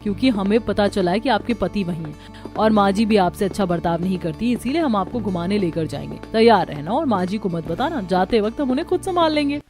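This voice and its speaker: A woman's voice: mid-range voice, lively intonation, a little fast, darkish tone, faint music behind, natural voice. A woman voicing a rich, bold and crafty young sister-in-law in a cartoon, with an assertive, brash manner. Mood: coaxing.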